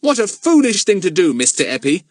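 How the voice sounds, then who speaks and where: Boy hero for a storybook, played by a man: plucky, indignant, earnest, pitched up and very lively. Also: fairly quick, dry recording, studio quality. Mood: indignant protest. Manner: protesting, earnest, high-lifted delivery. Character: plucky, indignant boy adventurer standing up for himself. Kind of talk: audiobook character voice.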